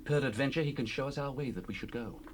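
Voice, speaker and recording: A man's voice: medium pitch, fast, close to an everyday voice. An actor playing an earnest manservant advising his master in a dramatized scripture reading, in formal archaic speech. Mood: earnest advice. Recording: hissy 1970s cassette rip.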